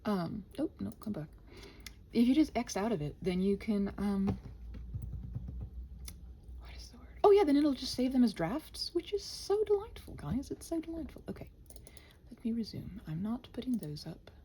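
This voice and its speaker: A woman's voice: fairly high pitch, big pitch swings, normal speed, pauses often, mellow, a little hiss or hum. A woman rambling casually to herself in a body-doubling ASMR style, scattered and muttering, often slipping toward a whisper. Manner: playfully talks to the computer and quotes imagined exchanges. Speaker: channel host rambling while working.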